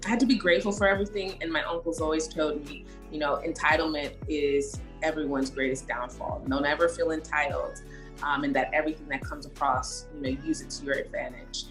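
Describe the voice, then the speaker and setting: A young woman from Haiti answering questions as an interview guest, her voice notably low for a woman. She speaks in a measured, reflective way, pausing on "um" as she gathers her thoughts. Faint music sits beneath her voice. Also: fairly even intonation, fairly bright tone, poor audio. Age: young adult.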